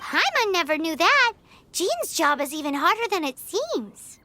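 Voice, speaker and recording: A woman voicing a tiny, sassy, chatty female companion creature in a game, indignant and very high-pitched, over loud game music. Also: a little slow, dry recording, full character voice. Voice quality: very high, squeaky, lively. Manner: indignant, talks about herself in third person. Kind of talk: game voice acting.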